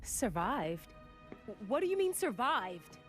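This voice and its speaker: A woman's voice: on the high side, big pitch swings, slow pace. An actress playing a passionate, principled young woman in a dramatic video game cutscene, emphatic and confrontational. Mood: shocked. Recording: music behind the voice.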